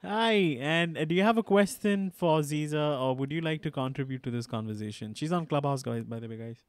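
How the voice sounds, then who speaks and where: Smooth, dry-sounding male podcast host. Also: on the high side, fairly quick, no room sound. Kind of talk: hosting an audio chat room.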